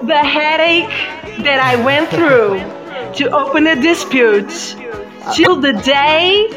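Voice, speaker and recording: A woman whose first language is Portuguese hosts a casual live podcast chat, halting often with many ums and laughing between phrases. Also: high-pitched, expressive. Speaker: podcast host. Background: music.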